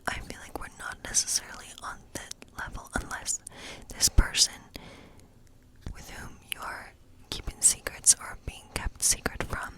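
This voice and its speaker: Female ASMR role player, fully whispered, gentle fortune-telling witch, unhurried and thoughtful. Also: poor audio. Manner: unhurried, musing, thinking aloud. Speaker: ASMR role-play performer as a tarot-reading witch. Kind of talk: whispered ASMR tarot reading role play.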